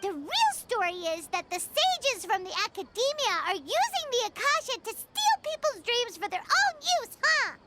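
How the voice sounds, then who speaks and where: A woman voicing a tiny, childlike, inquisitive fairy companion in an anime video game, with a squeaky voice. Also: sing-song, moderate pace, few pauses, loud music behind the voice. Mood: indignant, puzzled. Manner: speaks of self in third person, puzzling things out aloud.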